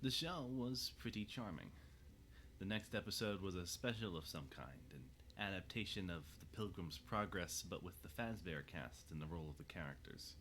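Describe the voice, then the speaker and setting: A man's voice: low voice, a bit bright, slight background noise. A man narrating a scripted audio drama as its storyteller.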